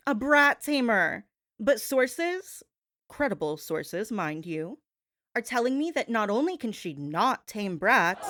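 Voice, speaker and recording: A woman with a fairly high voice hosts a gossip-show parody in a sassy, theatrical way. Her pitch swings widely as she stretches key words for emphasis. The sound is close and dry. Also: fairly bright tone, dry recording. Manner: sassy, theatrical, drawn-out emphasis, addresses listener as babe. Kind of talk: performed hypnotic gossip monologue, talk-show homage.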